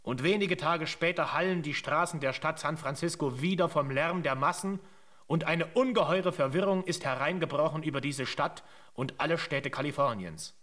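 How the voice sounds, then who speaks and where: Male narrator for historical radio drama: grave storytelling, slightly high pitch. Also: moderate pace, a bit bright, lightly acted. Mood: grave, dramatic.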